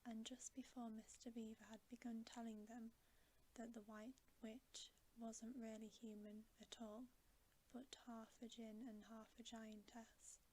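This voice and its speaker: A woman reading a bedtime story for ASMR in a soft, hushed, unhurried whisper. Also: fairly high pitch, level tone, low-quality recording. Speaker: ASMR reader and channel host. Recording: faint background hiss.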